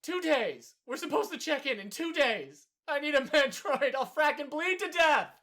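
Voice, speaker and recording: Woman acting a shrill, panicked cyborg prisoner. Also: expressive, normal speed. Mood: panicked, pained. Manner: frantic, shouting. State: in agony. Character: wounded, panicked cyborg prisoner begging for help. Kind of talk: audio drama acting.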